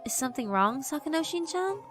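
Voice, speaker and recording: A woman's voice: high voice, big pitch swings, a little fast, over music, pitched above the performer's own voice. A woman voicing a timid, well-meaning girl who muses to herself hesitantly in a visual novel. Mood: worried.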